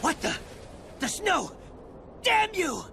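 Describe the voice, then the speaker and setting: A man's voice: very high voice, big pitch swings, takes it slowly, gravelly, background noise, exaggerated voice. A man playing a ruthless, arrogant, gloating soldier hunting a fugitive in a video game cutscene. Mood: angry. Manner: gloating, threatening. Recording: loud music behind the voice.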